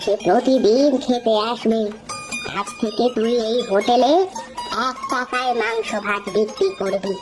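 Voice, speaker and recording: A woman's voice: fairly high pitch, fairly even intonation, a little slow, natural voice, rough recording. A woman doing a kindly old beggar woman. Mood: gentle. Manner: affectionate and motherly, gives gentle advice. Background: background music.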